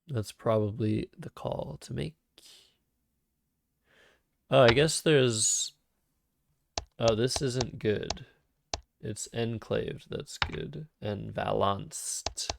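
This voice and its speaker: A man streaming a word game who thinks aloud about his moves in a calm, soothing, soft-spoken way, recorded on a cheap microphone. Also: mid-range voice, extremely slow, long pauses, background noise. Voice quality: vocal fry. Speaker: Scrabble streamer. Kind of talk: live-streamed game commentary.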